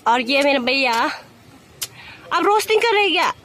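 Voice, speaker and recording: A woman's voice: high-pitched, very animated, moderate pace, fairly bright tone, a little hiss or hum. A young woman narrating a casual vlog from a rocky riverbank outdoors, chatting along as she films on a handheld phone. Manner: chatty, mixes in English words. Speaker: vlogger. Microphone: handheld phone camera.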